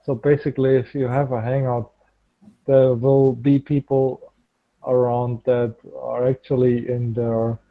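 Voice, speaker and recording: A man's voice: medium pitch, level tone, unhurried, dry recording, phone-quality sound. A man chatting casually on a group video call, hesitant and often repeating words as he searches for English. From Germany. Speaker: call participant. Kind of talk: casual online group chat.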